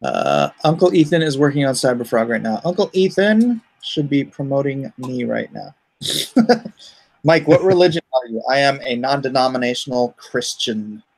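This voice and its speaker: Male livestream host, mid-pitched with noticeable fry, casual and halting delivery. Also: very animated, a little mellow, poor audio. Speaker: comic artist and livestream host.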